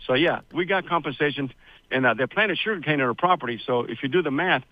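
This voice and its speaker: A man's voice: on the high side, fast, dry recording, phone-quality sound. A man in his sixties, born in Cuba, telling his family story as a radio guest in a rambling, chatty way, with many fillers. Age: 60s. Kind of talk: casual interview storytelling.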